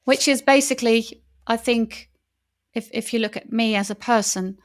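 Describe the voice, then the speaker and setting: Enthusiastic Dutch-accented woman, high voice. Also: moderate pace. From Netherlands. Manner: open and enthusiastic, talks with her hands. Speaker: wedding celebrant. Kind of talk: interview guest.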